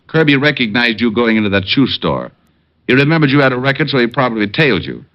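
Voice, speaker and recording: An actor playing a cocky, wisecracking private detective in an old-time radio drama, with glib, sarcastic delivery. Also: male voice, mid-range voice, expressive, quick pace, fairly bright tone, lightly acted. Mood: wry, defiant. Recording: dull vintage radio recording.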